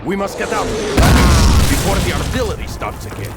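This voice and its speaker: A war game soldier, high swooping voice, urgent, booming artillery and music behind. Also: male voice, sing-song, normal speed. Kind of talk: video game voice acting. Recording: loud battle sounds and music behind. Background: artillery, booms and music.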